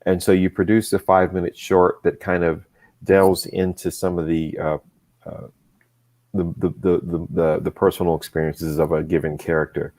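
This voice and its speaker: A man speaking as a guest in a casual chat, measured and deliberate, often repeating words as he searches for phrasing. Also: deep voice, flat delivery, a little mellow. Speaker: guest, comics creator.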